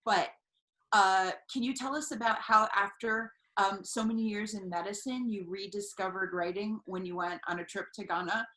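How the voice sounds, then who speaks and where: A woman asks an author questions in an eager, conversational way. Her voice sits at a middle pitch. The video call picks up clear room echo. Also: moderate pace, bright, forceful tone. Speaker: interviewer, editor.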